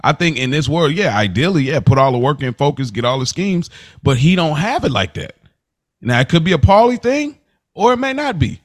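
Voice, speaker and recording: A man's voice: fairly high pitch, sing-song, fairly quick, no echo, clean studio sound. An American man hosting a casual podcast about a TV series, talking in a slangy, conversational way and repeating phrases to make his point. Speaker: podcast host.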